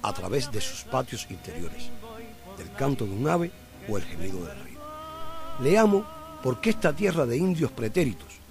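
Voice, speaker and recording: Man reading on radio. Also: mid-range voice. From Cuba. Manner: earnest, declamatory reading. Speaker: radio host. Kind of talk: scripted radio narration and poetic reading.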